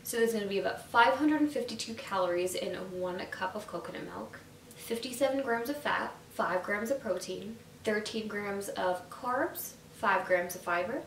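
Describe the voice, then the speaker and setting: A woman's voice: mid-range voice, moderate pace. A young woman with a slightly nasal, stuffy voice, chatting casually to camera about nutrition, full of "like" and "you know.". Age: young adult. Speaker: YouTube nutrition vlogger. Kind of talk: casual explainer talking to camera. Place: indoors at home, seated on a sofa. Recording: roomy echo, distant mic, faint hiss.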